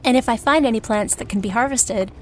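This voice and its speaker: A woman acts out an uneasy young-sounding village dye maker in a fantasy audio drama. Her voice sits fairly high and she speaks in a measured, pensive way over faint ambience. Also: a little mellow, a little hiss or hum, close to an everyday voice. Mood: easing, practical.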